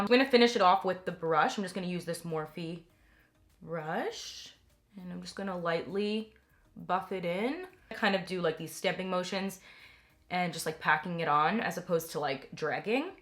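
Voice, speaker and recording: Chatty young woman, a little raspy. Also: fairly low pitch, fairly bright tone. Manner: chatty, enthusiastic, many "like" fillers. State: slightly raspy from getting over a cold. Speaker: beauty vlogger. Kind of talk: casual product review.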